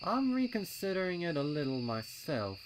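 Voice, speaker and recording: A man's voice: on the high side, very animated, moderate pace, background noise, voice pushed up. A man voicing a young colt adventurer, an eager and confident little planner, in a story reading. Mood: bold. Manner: colloquial, eager explaining.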